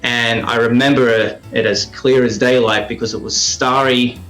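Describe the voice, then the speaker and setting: A man's voice: medium pitch, steady tone, a little slow, a bit bright, echoey room, soft background music, rough recording. A man being interviewed as a sound effects maker, casually and hesitantly explaining and demonstrating how he works. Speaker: radio drama maker and sound effects artist, interview guest.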